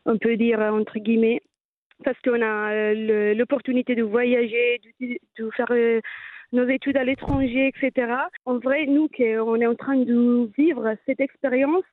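A woman's voice: on the high side, steady tone, measured pace, no room sound. A woman in her thirties with an Italian accent, a guest who speaks hesitantly with many fillers and restarts. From Italy. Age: 30s. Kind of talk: casual interview talk. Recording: tinny phone-like line.

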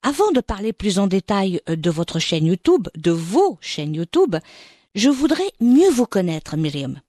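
A woman host reading a scripted introduction and asking interview questions in an expressive presenter manner. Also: mid-range voice, measured pace, no echo, clean studio sound. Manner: lively, expressive presenter delivery.

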